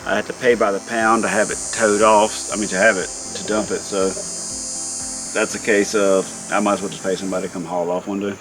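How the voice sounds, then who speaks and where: A man chatting casually while doing hot outdoor yard work, in an easygoing way with some drawn-out words. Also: fairly low pitch, flat delivery, background noise. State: hot and working hard. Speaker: vlog host. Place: outdoors in a backyard by a deck.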